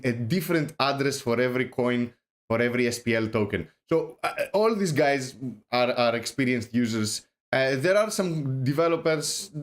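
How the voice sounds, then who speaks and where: Greek guy chatting loosely on a stream, lots of uh and restarts, jokey. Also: mid-range voice. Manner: rambling, many fillers and restarts; joking, self-deprecating. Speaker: host. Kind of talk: casual livestream talk.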